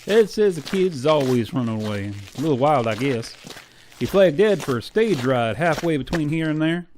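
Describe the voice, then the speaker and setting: A folksy, eager frontier deputy, acted by a man in a western radio play, a slightly high voice that moves freely up and down. He talks in plain rustic phrases, with faint noise behind. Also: expressive, moderate pace, a little mellow, lightly acted. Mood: folksy, earnest. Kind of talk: old-time western radio drama acting.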